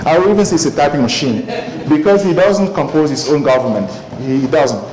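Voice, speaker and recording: A man's voice: a little high, expressive, a little fast, a crowd in the background. A man giving a talk to a live audience, thoughtful and hesitant, with many fillers and restarts. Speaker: speaker addressing an audience. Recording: echoey room.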